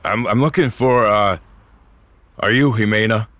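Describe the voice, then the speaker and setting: An actor playing a weary, guilt-ridden, very old augmented great-grandfather in an audio drama, with a hesitant, low-key manner. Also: measured pace, fairly bright tone. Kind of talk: audio fiction acting with first-person narration.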